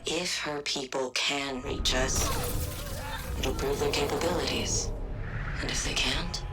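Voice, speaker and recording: A woman's voice: very deep voice, measured pace, heavy background noise. A woman playing a cold, logical scientist in a video game, speaking in a formal, clipped and precise way. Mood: cold, resolute. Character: cold, logical woman scientist devoted to her frozen wife. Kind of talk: video game cutscene acting.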